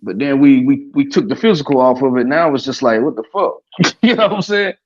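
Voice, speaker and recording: A man's voice: mid-range voice, expressive, fairly quick. Laid-back man, muffled stream audio. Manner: laid-back, swears, keeps saying "you know what I mean". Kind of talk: casual podcast chat. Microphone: remote stream call. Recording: dull lo-fi sound.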